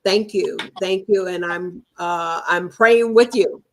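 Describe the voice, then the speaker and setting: A woman's voice: lowish voice, takes it slowly, a little hiss or hum. A woman hosting a live book talk show, effusive and thankful, with frequent fillers. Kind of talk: casual book talk interview.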